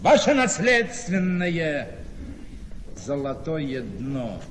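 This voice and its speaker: Shrewd, chatty gentleman with swooping high-ish voice. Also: big pitch swings, very slow, heavily acted. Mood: probing, sly. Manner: chatty, repeats his phrases, sly and probing. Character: shrewd, folksy older male relative buying an estate. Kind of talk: theatrical radio play acting. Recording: noisy radio play recording, long reverb.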